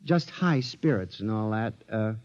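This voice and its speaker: A frantic asylum patient. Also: male voice, fairly low pitch, animated, unhurried, darkish tone. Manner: earnest, pleading, rising to frantic. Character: man, a frantic asylum patient fearing machines. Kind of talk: 1950s radio drama acting. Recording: dull old radio broadcast.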